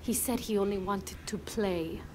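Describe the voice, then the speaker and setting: A woman's voice: mid-range voice, measured pace, darkish tone, a little hiss or hum, natural voice. An actress voicing a worried, chatty village woman who watches over children in a video game. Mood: exasperated, amused. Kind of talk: video game character dialogue.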